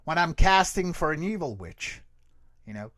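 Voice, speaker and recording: A man speaking conversationally as an interview guest, explaining vocal technique in an animated teaching way and checking in with the listener. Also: fairly high pitch, moderate pace, dry recording, studio quality. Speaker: creature voice actor, interview guest.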